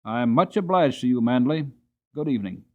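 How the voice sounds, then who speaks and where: A man's voice: medium pitch, expressive, a little fast, lo-fi sound, natural voice. A man voicing a stern farmer and father, firm and formal, in a storybook reading.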